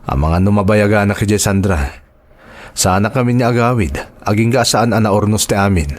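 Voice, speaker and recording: A man acting a low-voiced, devoted father. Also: fairly quick, close to an everyday voice. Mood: reassuring. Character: devoted, reassuring husband and father, businessman. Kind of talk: Ilocano radio-style drama acting.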